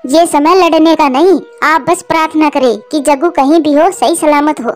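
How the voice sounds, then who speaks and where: A woman voicing a kind, sensible mother bird, calm and reassuring, in a cartoon. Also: high voice, moderate pace, faint music behind, natural voice. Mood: calm, concerned. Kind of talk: Hindi cartoon voice acting.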